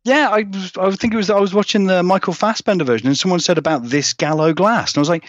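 A man's voice: high-pitched, fast, no echo. Rambling, self-deprecating man, slightly dull sound. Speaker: guest author being interviewed. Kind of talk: casual interview chat.

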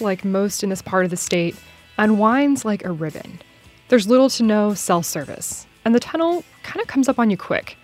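Animated woman narrating a radio feature. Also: medium pitch. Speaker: radio reporter.

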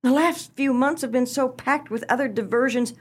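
A woman playing an excitable, socially ambitious wife in a humorous radio play, with a gushing, theatrical manner. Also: high-pitched, normal speed. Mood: frantic complaint. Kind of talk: humorous stage-style radio play acting.

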